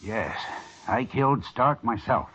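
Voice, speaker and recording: A man's voice: mid-range voice, takes it slowly, fairly bright tone, slightly rough. An actor playing a proud, anguished middle-aged colonel and father in an old radio western, formal and dramatic. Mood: desperate, firm. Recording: dull, noisy old radio broadcast recording.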